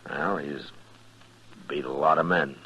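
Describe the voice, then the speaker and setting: A man's voice: a bass voice, a little slow, sharp tone, breathy edge, full character voice. An American man playing a weary, stern frontier marshal in a 1950s radio western, terse and plain-spoken. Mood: weary, resigned. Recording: dull lo-fi 1950s radio recording.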